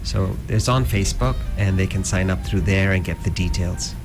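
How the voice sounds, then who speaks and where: A middle-aged man from South Africa, a guest teacher explaining a philosophy calmly and steadily in a radio interview. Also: sits low, soft background music. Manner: calm, explanatory, measured. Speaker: guest speaker, Vedanta teacher.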